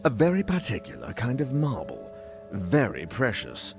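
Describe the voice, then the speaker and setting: A man voicing a formal noble lord of a frontier town in a video game, with a measured, probing manner. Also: medium pitch, huge pitch swings, creaky, music in the background. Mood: grave, courteous. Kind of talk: video game cutscene acting.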